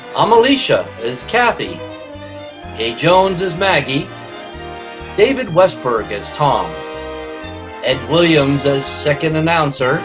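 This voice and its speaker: A man announcing credits in a measured, formal old-time radio style. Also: a little high.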